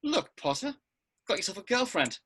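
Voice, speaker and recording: A woman playing a jealous schoolboy wizard bully with a raspy voice and a snide, taunting manner in a script table read. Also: mid-range voice, very animated, normal speed, fairly bright tone. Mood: sneering.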